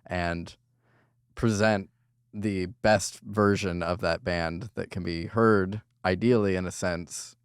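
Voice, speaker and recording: A man's voice: deep voice, steady tone, slow pace, smooth, no room sound, clean studio sound. A young man, a recording engineer, talking earnestly in long run-on thoughts in a casual podcast chat.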